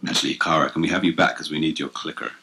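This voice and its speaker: A man emceeing an event, introducing speakers in an upbeat, brisk way. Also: extremely low pitch, creaky, bright, forceful tone.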